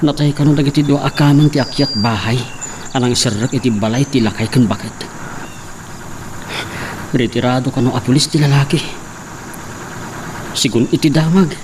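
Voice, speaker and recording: A man's voice: mid-range voice, normal speed, pauses often, close to an everyday voice. A young man playing an earnest son, narrating his story in a plain, earnest way. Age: young adult. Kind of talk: amateur drama acting and narration. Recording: distant mic with clear insect noise.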